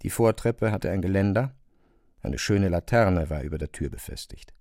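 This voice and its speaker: Low, creaky, dry-sounding male narrator. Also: animated, normal speed, a little mellow, no room sound. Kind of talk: scripted literary narration.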